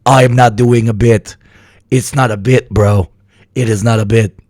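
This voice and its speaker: A sick, hoarse man streaming live news commentary, talking bluntly and with plenty of swearing. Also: mid-range voice, fairly even intonation, moderate pace, darkish tone, no room sound, studio quality. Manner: profane, blunt, rambling. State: hoarse from illness.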